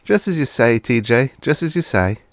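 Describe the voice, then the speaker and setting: A man acts out a timid, obedient business partner in an audiobook tale. He speaks in a raised pitch, deferential and blithely agreeable, with a dry, close sound. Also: big pitch swings, moderate pace, no room sound. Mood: happily obedient. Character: meek, thin, eager-to-please male business partner.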